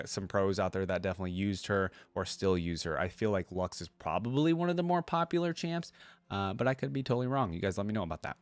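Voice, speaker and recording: Casual male game reactor, slightly deep voice, chatty and unhurried in attitude, dry studio-close sound. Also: dry recording. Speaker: host of a game reaction video.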